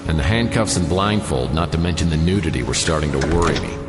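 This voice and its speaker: An actor playing a wisecracking hard-boiled detective who narrates in a dry, sardonic noir style. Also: male voice, rumbling low, lively intonation, fast talker. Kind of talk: noir radio drama narration. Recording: reverberant with music behind.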